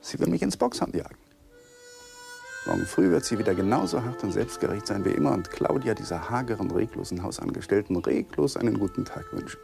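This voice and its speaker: Ein Schauspieler spielt in einem deutschen Krimihörspiel einen gereizten, innerlich zerrissenen Ehemann, theatralisch zwischen beherrscht und heftig. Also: male voice, lowish voice, very animated, brisk, music in the background, heavily acted. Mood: brooding. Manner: theatrical, swinging from controlled to vehement. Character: exasperated, emotionally torn husband demanding a divorce. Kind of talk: German radio crime drama acting. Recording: 1980s radio play with clear noise.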